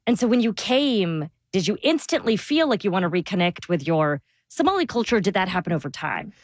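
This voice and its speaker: A woman hosting an interview, asking measured, probing questions. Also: mid-range voice, sing-song, dry recording, clean studio sound. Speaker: interview host.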